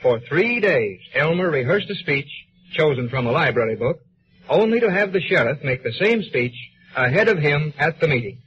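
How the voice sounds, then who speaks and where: A man's voice: fairly high pitch, lively intonation, moderate pace, lightly acted. A man playing a grandiose announcer narrating a 1930s radio serial in a formal, mock-solemn way. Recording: muffled, noisy 1930s radio recording.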